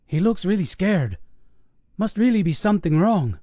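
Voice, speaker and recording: A cautious, soft-spoken paramedic, as a man puts him on, talking in a raised, gentle voice. He sounds careful and a little uneasy. The recording is dry. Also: sits high, big pitch swings, normal speed, no echo, voice pushed up. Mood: worried.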